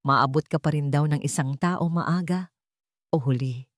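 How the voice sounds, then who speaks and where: A woman's voice: low-pitched, measured pace, dry recording, clean studio sound. A woman with a Filipino accent voicing a brave, modest young girl who speaks respectfully in an audio story. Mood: earnest, modest.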